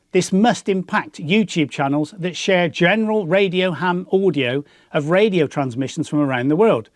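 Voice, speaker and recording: A man's voice: a little high, no echo, clean studio sound. A man with a British accent presenting a scripted explanatory piece as the host of a hobby channel. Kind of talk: scripted explanatory narration to viewers.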